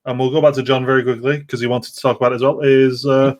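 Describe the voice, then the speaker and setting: A man's voice: medium pitch, level tone, fast. A man hosting a gaming podcast, steering the discussion with casual banter.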